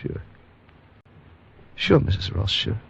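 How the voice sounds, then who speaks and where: An actor playing a meek, pitiful bartender in an old radio drama, stammering and deferential. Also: male voice, takes it slowly, close to an everyday voice. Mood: frightened, meek. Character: pitiful, meek male bartender, pleading and easily cowed. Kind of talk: 1940s radio drama acting. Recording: muffled old radio recording, noisy.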